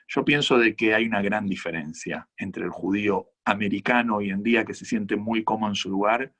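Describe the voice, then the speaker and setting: Man, Argentine accent, rabbi, low voice, husky tone, earnest, measured explanations. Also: a bit bright. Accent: Argentine Spanish. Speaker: rabbi answering audience questions. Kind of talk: conference Q&A talk.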